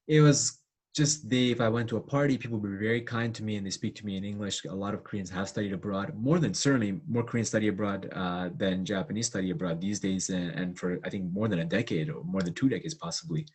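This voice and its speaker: A man's voice: lowish voice, fairly quick, slightly rough. A young man talking casually with many fillers and restarts, sharing his experiences as co-host. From Canada. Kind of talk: casual discussion.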